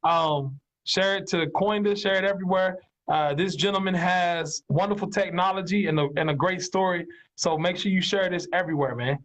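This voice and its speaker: A man's voice: high voice, normal speed, a bit bright, a little hiss or hum, low-quality recording. A man hosting a casual live-stream interview, friendly, greeting viewers and hyping up his guest over a video call. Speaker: host.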